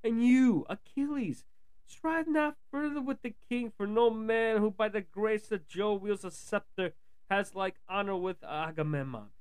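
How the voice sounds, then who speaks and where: A man voicing an aged counsellor who pleads earnestly and loudly for peace in a declamatory way. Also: sits high, a little slow, clear, resonant tone, voice pushed up, rough recording. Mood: urgent pleading. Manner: loud, declamatory, imploring.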